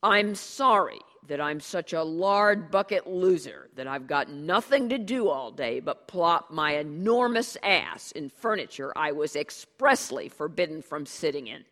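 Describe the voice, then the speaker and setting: A man's voice: fairly high pitch, expressive, measured pace, hardly any pauses, pushed and bright, long reverb tail, pitched above the performer's own voice, heavily acted. A reader voicing a proud, bitter father who launches into a cutting, sarcastic tirade, in an audiobook. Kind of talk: audiobook character dialogue.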